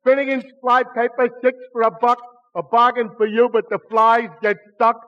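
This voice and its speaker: Befuddled, self-important simpleton, high halting voice, old radio comedy, echoing and noisy. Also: male voice, level tone. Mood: earnest, befuddled. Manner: halting, dopey, formal. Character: Clifton Finnegan, dim-witted male nitwit posing as sponsor. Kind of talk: 1940s radio comedy acting. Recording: dull, noisy, reverberant vintage radio recording.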